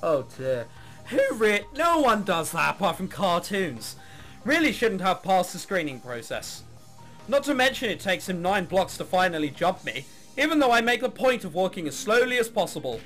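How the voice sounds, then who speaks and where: Male let's player, high-pitched and very animated, sarcastic, sweary, music underneath. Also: moderate pace. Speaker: let's play host. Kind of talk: let's play commentary, reading game text aloud.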